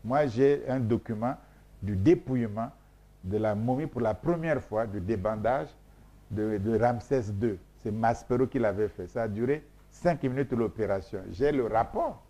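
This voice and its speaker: A man from Congo whose mother tongue is Mboshi lays out his research as an interview guest. He talks in an emphatic, lecturing, repetitive way with many hesitations. His voice is mellow and dark, at a medium pitch. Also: normal speed. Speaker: scholar being interviewed. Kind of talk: explanatory interview talk.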